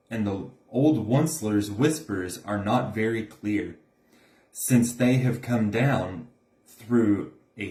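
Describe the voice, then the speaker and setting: A man's voice: lowish voice, darkish tone. A man reading a rhyming children's storybook aloud as narrator. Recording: roomy, echoing room sound.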